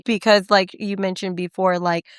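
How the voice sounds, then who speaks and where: A woman's voice: medium pitch, flat delivery, normal speed, clear, resonant tone, no room sound, studio quality. A young woman co-hosting a faith podcast, talking reflectively and affirming her co-host's points. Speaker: podcast co-host. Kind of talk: faith conversation.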